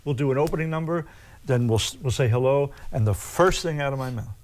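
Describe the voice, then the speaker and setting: Older philly guy, big booming voice, proud and lively telling stories. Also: quick pace. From Philadelphia. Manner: proud, animated storyteller. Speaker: singer guest. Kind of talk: casual chat.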